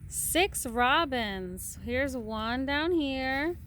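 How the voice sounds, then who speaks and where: A woman reading a picture book aloud to a small child in a patient, sing-song way, pausing to count and point. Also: sits high, expressive, fairly bright tone, slight background noise.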